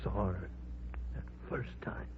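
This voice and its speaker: A man's voice: slow, natural voice. An actor playing a feverish, obsessed man in a 1940s radio horror drama, wild and pleading. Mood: overwrought. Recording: hissy old radio broadcast, phone-like.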